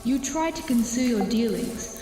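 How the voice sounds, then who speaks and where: A woman acts as a vengeful possessed girl, her fairly high voice cold and pointed. It rings through an echoing hall with music behind it, in the accusatory style of a horror radio play. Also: animated, moderate pace, mellow, lightly acted. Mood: accusing, bitter. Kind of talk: horror audio drama acting. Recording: echoey reverberant hall with music.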